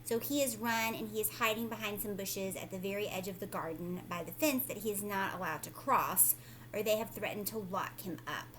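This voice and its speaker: A woman's voice: mid-range voice, fairly quick, a bit bright, slight background noise, poor audio. A woman teacher reading a children's story aloud, speaking expressively and emphatically, with explanatory asides for young listeners.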